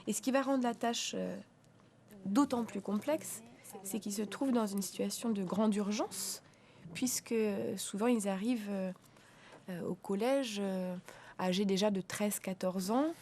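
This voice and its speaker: A woman teacher speaks in an interview, mid-pitched and mellow, with a relaxed timbre. She talks haltingly, stopping often on fillers and starting her phrases over as she explains. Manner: hesitant, frequent filler pauses and restarts.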